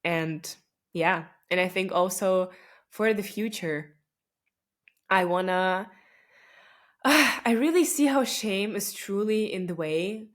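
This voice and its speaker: A woman's voice: mid-range voice, slow, pauses often. A young woman whose first language is Russian, talking through her thoughts on her own podcast in a loose, chatty way with many fillers, restarts and small laughs. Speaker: podcast host. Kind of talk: casual solo podcast reflection.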